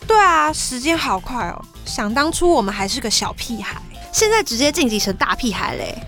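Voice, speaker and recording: A high, youthful voice over background music: a woman acting an expressive teenage girl in an audio drama. She is an excitable, chatty fan who speaks in casual youthful slang. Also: moderate pace. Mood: cheerful. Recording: music under the voice.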